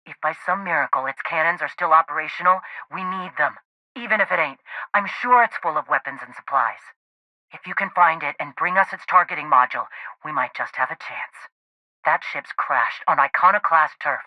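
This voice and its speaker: An actress playing a tough, gruff female rebel leader in a video game, with a blunt, salty, commanding manner. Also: low voice, moderate pace, no room sound, dull audio. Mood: urgent, pleading.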